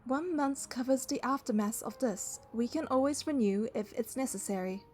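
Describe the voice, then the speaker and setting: A woman's voice: on the high side, normal speed, darkish tone, close to an everyday voice. A woman voicing a young romance game heroine, narrating and speaking in a composed, scripted way. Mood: composed, tender. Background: music.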